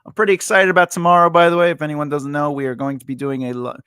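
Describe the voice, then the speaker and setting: A man's voice: fairly high pitch, level tone, rapid-fire, pushed and bright, clear, resonant tone, dry recording. Chatty man in his forties, dry sound. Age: 40s. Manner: chatty, rambling, laughs often. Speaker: writing teacher and developmental editor. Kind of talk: casual live-stream Q&A.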